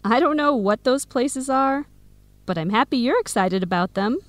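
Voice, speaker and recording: A woman playing a supportive, hardworking young American friend in a scripted radio drama, conversational and clearly enunciated, with an American accent. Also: fairly high pitch, expressive, moderate pace, no echo, natural voice. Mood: friendly. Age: young adult.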